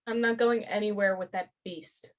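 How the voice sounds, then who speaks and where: An actress playing a hostile, aggrieved forest animal in a staged reading, scornful and confrontational. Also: female voice, mid-range voice, steady tone, moderate pace, natural voice.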